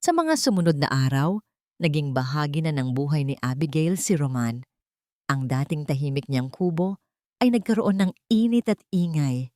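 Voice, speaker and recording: Low-voiced woman narrating a dramatic story. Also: lively intonation, moderate pace, mellow, no echo, clean studio sound. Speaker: audiobook narrator.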